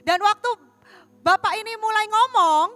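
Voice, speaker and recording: High bright-voiced Javanese woman preaching. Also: normal speed, no room sound, soft background music. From Surabaya, East Java. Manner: lively, asks the audience questions, storytelling. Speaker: evangelist teaching a class. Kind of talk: church teaching talk.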